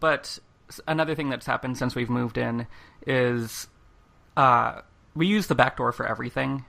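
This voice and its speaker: Podcast host, bright and forward with noticeable vocal fry, informal and giggly anecdote-telling. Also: mid-range voice, normal speed. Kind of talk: casual chat, personal anecdote.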